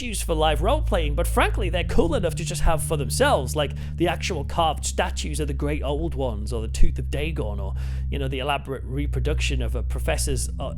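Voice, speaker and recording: A man hosting a review show in a chatty, enthusiastic, conversational way. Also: fairly high pitch. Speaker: video review host.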